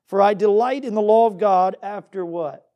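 A man's voice: high-pitched, normal speed, warm tone. A man preaching as a pastor, earnest and exhorting, reading scripture and putting questions to his listeners. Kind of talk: Baptist sermon with scripture reading.

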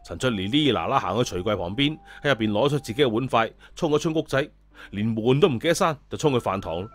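A man with a Cantonese accent narrating a story in a lively, colloquial scripted style. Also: medium pitch, fast talker. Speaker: audiobook storyteller. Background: background music.

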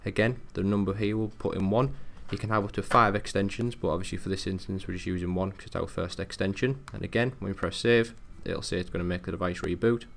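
Low, gravelly tech tutorial man. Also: steady tone, fast talker, few pauses, slight background noise. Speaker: technical support presenter. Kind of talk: casual step-by-step software tutorial narration.

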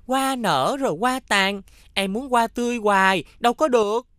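A woman voicing a playful, teasing boy in a children's cartoon, with a lively childlike manner. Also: fairly high pitch, moderate pace, dry recording, studio quality, close to an everyday voice. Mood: teasing, matter-of-fact.

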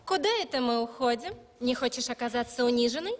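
A woman playing a haughty, spiteful young ballerina rival in a dubbed animated film. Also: fairly high pitch, fast, in a large hall, a little hiss or hum, close to an everyday voice. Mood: sneering, boastful. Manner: haughty, sneering. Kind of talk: animated film dub acting.

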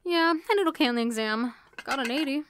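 A woman's voice: high-pitched, expressive, fast talker, a bit bright. A woman playing a chatty, sardonic young female student in an audio drama. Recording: noisy track with clicks.

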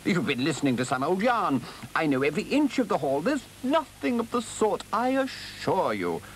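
Storybook toad, male, played by a man: boastful, blustering, pitched high, swooping delivery, faint hiss. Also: sing-song, normal speed, poor audio. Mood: dismissive, blustering. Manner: blustering, exclamatory, self-satisfied. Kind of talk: animal storybook character voice.

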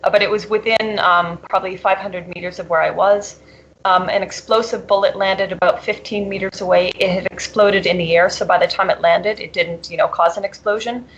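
A woman's voice: mid-range voice, steady tone, brisk, a little hiss or hum. A woman answering interview questions earnestly, often restarting and filling with "you know". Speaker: interview guest reporting from abroad. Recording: dull lo-fi audio.

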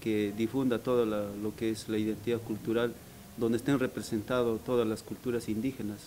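A man from Peru, a workshop participant, speaking haltingly with many fillers in an interview. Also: on the low side, little pitch movement, a little mellow, slight background noise, rough recording. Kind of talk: hesitant interview talk.

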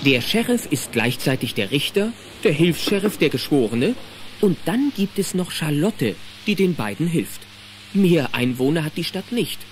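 A man's voice: on the high side, big pitch swings, normal speed, a little hiss or hum. A man narrating a children's audio drama in a dramatic, playful scripted storytelling style. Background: faint music.

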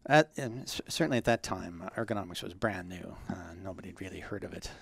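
Older guy from ontario, low gravelly voice, slow careful answers full of ums. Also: a bit bright, dry recording, clean studio sound. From Ontario, Canada. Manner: measured, hesitant, many ums and uhs. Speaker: guest, ergonomist. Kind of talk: interview answers.

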